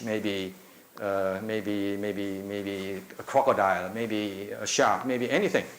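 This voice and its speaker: A man's voice: mid-range voice, a bit bright. Middle-aged Indonesian man lecturing on a handheld mic. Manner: conversational, with many filler pauses. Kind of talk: conference talk. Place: lecture room. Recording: echoey room with faint noise.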